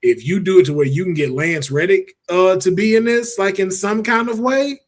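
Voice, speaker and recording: A man's voice: high voice, moderate pace. A man hosting a podcast, chatting casually with informal, slangy phrasing. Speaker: podcast host. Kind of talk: casual panel podcast chat.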